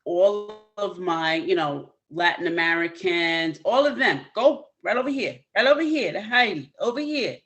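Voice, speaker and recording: A woman's voice: lowish voice, expressive, moderate pace, hardly any pauses, fairly bright tone. A woman hosting an interview podcast, talking enthusiastically and emphatically urging her listeners on. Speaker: podcast host.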